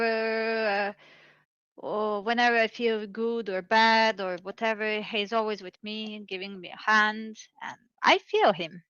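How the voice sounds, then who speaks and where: Woman from Serbia, video-call guest, bright higher voice, halting delivery with fillers, dull lo-fi line. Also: clear, resonant tone, no echo. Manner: hesitant, many fillers and restarts. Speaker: caller. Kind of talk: casual debate chat.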